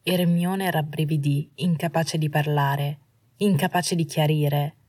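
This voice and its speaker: Low, husky woman narrating fiction. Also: fairly even intonation, takes it slowly, darkish tone, no echo, clean studio sound. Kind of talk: scripted audiobook narration of fiction.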